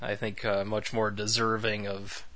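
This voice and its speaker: A man's voice: fairly low pitch, level tone, measured pace, sharp tone. A man co-hosting a podcast in casual, rambling chat with wry, sarcastic asides. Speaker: podcast co-host.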